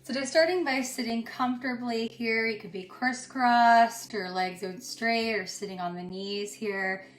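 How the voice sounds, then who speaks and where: A woman teaching yoga and guided meditation in a soothing, unhurried way, pausing between short phrases. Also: fairly high pitch. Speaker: yoga instructor. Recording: roomy echo with music underneath. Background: music.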